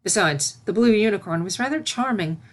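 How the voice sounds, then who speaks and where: A woman's voice: medium pitch, expressive, a little fast. A woman narrating a scripted story as its reader.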